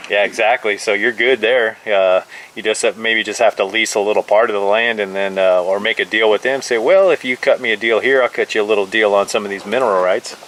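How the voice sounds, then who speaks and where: Low-voiced American man, casual radio chat. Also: fairly even intonation, a little fast, background noise.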